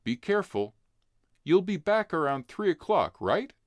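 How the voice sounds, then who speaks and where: Man playing caring storybook mom, raised pitch. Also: very animated, unhurried, no echo. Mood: caring, checking in. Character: caring, cheerful mother checking on her children.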